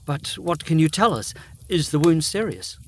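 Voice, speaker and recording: Polite, higher-voiced Edwardian gentleman. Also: big pitch swings, normal speed, a little hiss or hum. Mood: curious, concerned. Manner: polite, formal. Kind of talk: gothic audio drama acting.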